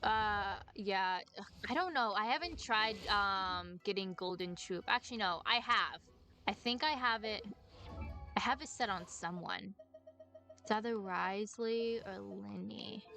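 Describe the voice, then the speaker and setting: A woman's voice: medium pitch, takes it slowly, pauses often, sharp tone. A woman who streams games, chatting casually as she plays, with music behind her. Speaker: game streamer. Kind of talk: casual chat while playing a game.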